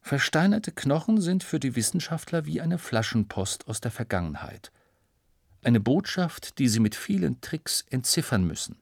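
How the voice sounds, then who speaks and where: A man's voice: mid-range voice, sing-song, moderate pace, creaky, husky, no room sound, clean studio sound. A man reading scripted narration of a science audiobook for children.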